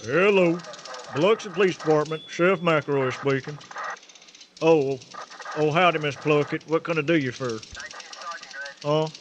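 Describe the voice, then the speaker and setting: An actor playing a lazy small-town sheriff with a Southern accent and a folksy, stammering drawl in a comic radio drama. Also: male voice, on the high side, measured pace, long pauses, muffled recording, close to an everyday voice. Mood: folksy, placating. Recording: noisy radio drama recording.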